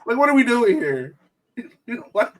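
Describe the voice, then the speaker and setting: A man's voice: extremely high pitch, very animated, a little slow, crowd noise. A man co-hosting a casual sports podcast, talking in a slangy, storytelling way. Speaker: podcast co-host.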